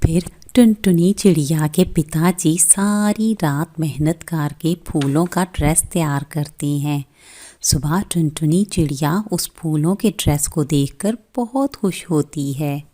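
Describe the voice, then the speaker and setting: Slow-paced woman storyteller, slightly low. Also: mellow. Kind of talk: scripted children's story narration.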